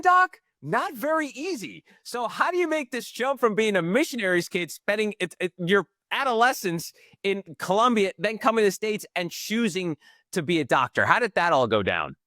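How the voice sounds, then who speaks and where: Male host, high-pitched, casual and colloquial, conversational interview style, dry sound. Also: very animated, normal speed, sharp tone, no echo. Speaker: podcast host interviewing a guest.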